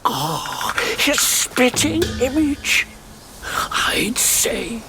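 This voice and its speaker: An actor voicing a quirky, theatrical old mountain guardian spirit in a video game, creaky and given to drawn-out, excitable delivery. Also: male voice, very high voice, whispered, over music, exaggerated voice. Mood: reverent, wistful. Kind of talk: theatrical game character acting.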